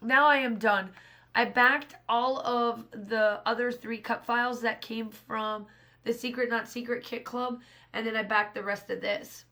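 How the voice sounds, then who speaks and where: Hoarse woman, thirties, rambling crafting voice-over, slightly high pitch. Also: steady tone, measured pace, pushed and bright. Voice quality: rambling, restarts often. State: hoarse, scratchy voice. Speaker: scrapbooking channel host. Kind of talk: casual voice-over narration of a craft process.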